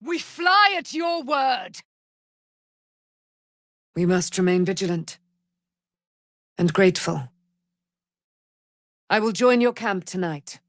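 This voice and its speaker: Casting: a proud immortal female warrior of divine birth in a fantasy game, declamatory and archaic, measured pace, close dry sound. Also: mid-range voice, dry recording. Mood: proud, vigilant. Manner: grandiose, archaic, declamatory diction. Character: proud immortal warrior daughter of a moon goddess. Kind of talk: theatrical fantasy game acting.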